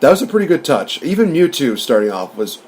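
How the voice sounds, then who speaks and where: A man's voice: a little high, sing-song, fast talker, a little mellow, a little hiss or hum. A man hosting a podcast, talking through a film review in an informal, chatty, rambling way. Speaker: podcast host.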